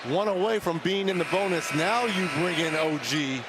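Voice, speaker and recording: A man's voice: high-pitched, lively intonation, normal speed. A man giving live basketball analysis as a color commentator, conversational and opinionated. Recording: loud arena noise. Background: loud basketball game noise.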